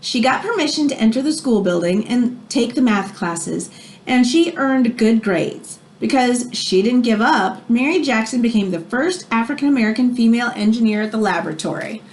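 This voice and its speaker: A woman's voice: a little high, moderate pace, darkish tone. A woman teacher reading a children's nonfiction book aloud, explaining as she goes and stressing key phrases. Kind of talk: expressive read-aloud of a children's nonfiction book with brief asides.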